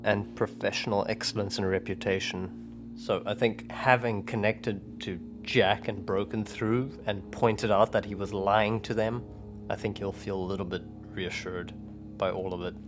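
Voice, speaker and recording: A man's voice: on the low side, steady tone, moderate pace. A man chatting casually as a tabletop roleplaying player, describing his character's thoughts and actions. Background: instrumental music bed.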